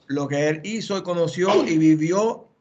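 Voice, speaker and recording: A man sharing thoughts in a group Bible study, speaking haltingly with many restarts. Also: on the high side, a little mellow. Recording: muffled video call audio.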